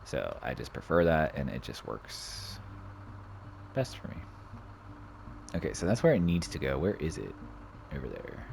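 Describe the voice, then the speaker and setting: Man, extremely low voice, casual gaming host, hesitant rambling delivery, noisy background with vehicle rumble. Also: big pitch swings, measured pace, vocal fry, pauses often. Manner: rambling, hesitant, repeats himself. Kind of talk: casual off-the-cuff game commentary.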